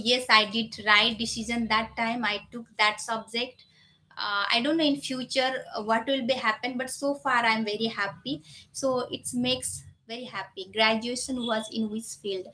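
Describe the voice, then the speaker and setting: A woman's voice: on the high side, bright, forceful tone, rough recording. A woman in her thirties, a native Nepali speaker, chatting casually as a live stream host in non-native English with loose grammar and frequent filler phrases. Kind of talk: casual live chat, answering viewers.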